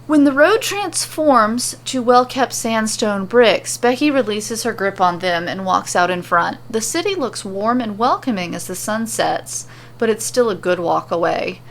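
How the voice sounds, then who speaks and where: A woman's voice: mid-range voice, expressive, measured pace. A woman storyteller reading scripted fantasy narration, with ambient sound effects behind her. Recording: faint background noise and ambience.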